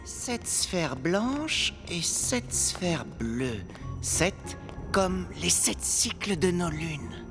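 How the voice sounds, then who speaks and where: Bold, keen young cartoon adventurer. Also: female voice, lowish voice, very animated, very slow, music in the background, exaggerated voice. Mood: focused. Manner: keen, determined. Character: bold, curious young adventurer who loves puzzles. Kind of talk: animated children's cartoon acting.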